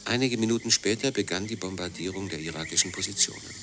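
A man's voice: low-pitched, fast talker, a bit bright, a little hiss or hum. A man playing a sombre war chronicler in scripted radio documentary narration.

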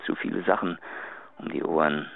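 Deep, gravelly, courteous gentleman. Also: steady tone, moderate pace, heavily acted. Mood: courteous, apologetic. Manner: formal, courteous. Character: courteous, gentlemanly male acquaintance, quietly smitten and apologetic. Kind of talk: radio drama, voicemail monologue. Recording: dull phone message, faint music.

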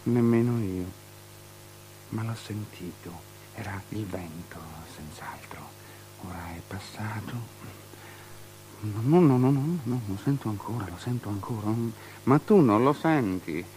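Slow, languid, capricious tetrarch. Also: male voice, lowish voice, lively intonation, background noise. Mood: morbid, agitated. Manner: languid, mannered, halting delivery with repetitions. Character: capricious, lecherous, morbid male tetrarch of Judea. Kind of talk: avant-garde theatrical radio drama. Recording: radio drama with music behind the voice. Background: music.

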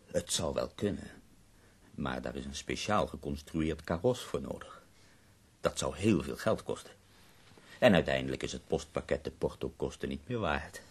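An actor in a radio drama playing an ailing, bitterly ironic bedridden poet, weary and wryly self-mocking. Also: male voice, extremely low pitch, expressive, normal speed, husky, full character voice.

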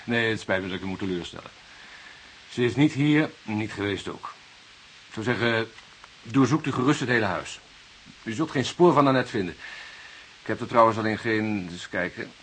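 Low-voiced, wry young journalist. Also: male voice, lively intonation, moderate pace, a bit bright. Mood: wry, cheeky. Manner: hesitant, polite, wry. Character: young male journalist, politely evasive, cheeky when questioned. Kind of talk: Dutch radio drama acting. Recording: hissy old radio play recording.

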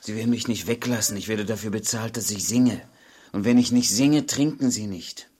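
A man's voice: on the low side, normal speed, darkish tone, slightly rough. A man playing a weary, kindly tavern singer and sinner in a radio play, speaking in a subdued way. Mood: weary, gentle.

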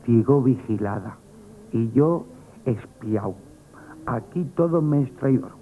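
A man's voice: mid-range voice, animated, slow, a little hiss or hum. A man from Spain with a Spanish accent playing a timid, hesitant, comically frightened young working-class shop boy in a radio play. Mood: anxious, comic. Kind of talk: 1970s Spanish radio comedy theatre acting. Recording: tinny, band-limited old radio broadcast.

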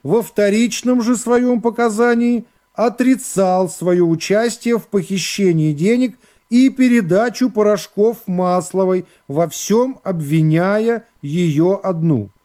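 A man playing a court secretary in a radio play, reading out an indictment in a formal, drawn-out official manner. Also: high-pitched, mellow. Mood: solemn, official. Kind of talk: radio play character acting.

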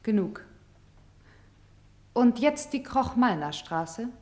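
An actress playing a commanding play leader in a German radio drama, deliberate and insistent as she directs. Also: a little high, sing-song, dark tone. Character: commanding female play leader summoning people and places to speak.

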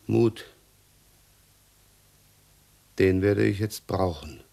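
An actor playing a weary, talkative, suspicious husband in a 1960s radio crime play. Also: male voice, sits low, measured pace, gravelly, dark tone. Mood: grim.

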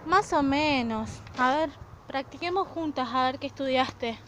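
High-voiced Argentine schoolgirl, played by a woman, noisy recording. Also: a little slow. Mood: chatty. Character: easygoing, tardy schoolgirl who bickers with her friend. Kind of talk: amateur radio play dialogue. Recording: noisy amateur recording with clicks.

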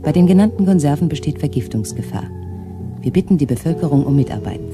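Casting: an actor playing an official announcer, formal and measured, with music clearly underneath. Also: medium pitch, expressive, lightly acted. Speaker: radio announcer.

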